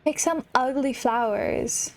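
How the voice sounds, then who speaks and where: A woman's voice: high-pitched, takes it slowly, poor audio. A woman hosting a gaming video, giving casual let's-play commentary.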